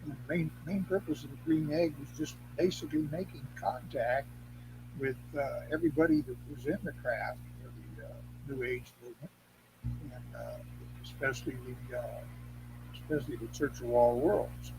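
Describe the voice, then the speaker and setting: An older man chatting casually and reminiscing in a rambling, halting, anecdotal way. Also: mid-range voice. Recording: clear background noise and hum.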